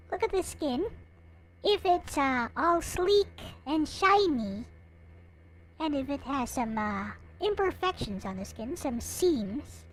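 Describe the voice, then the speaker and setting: High-pitched, animated woman livestreamer. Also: a little hiss or hum. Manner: halting, muttering to self while setting up. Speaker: livestream host. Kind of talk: casual chat with livestream viewers.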